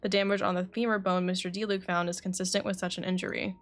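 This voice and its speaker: A woman's voice: on the low side, flat delivery, quick pace, lightly acted. An actress playing a terse, detached woman giving forensic testimony in a game, clipped and matter-of-fact. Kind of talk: video game voice acting.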